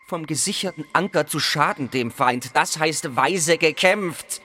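An actor playing an indignant aged father in a radio play, declaiming in a halting, theatrical way. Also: on the high side, sharp tone. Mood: proud rebuttal. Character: Amphitryon, indignant aged father defending his heroic son. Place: home, online video call. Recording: noisy home recording.

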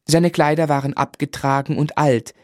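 An actor narrating as a grown man looking back on a lonely boyhood, in measured literary German radio drama style. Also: on the high side, level tone, dry recording, studio quality, lightly acted. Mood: reflective. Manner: reflective, measured storytelling.

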